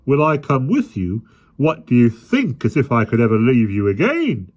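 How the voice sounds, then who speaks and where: A man voicing a gentle, soft-hearted policeman who speaks tenderly and with open emotion. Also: mid-range voice, wildly swooping pitch, normal speed, voice pushed up. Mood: gleeful.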